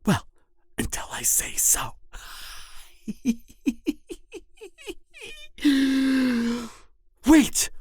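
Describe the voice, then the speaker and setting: A man's voice: very high voice, huge pitch swings, slightly rough, full character voice. Man playing a theatrical, swooping cannibal killer. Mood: teasing, menacing. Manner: theatrical, drawn-out phrasing with frequent chuckling. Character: gleeful, gloating cannibal serial killer man, refined and theatrical. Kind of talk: roleplay audio drama monologue to the listener.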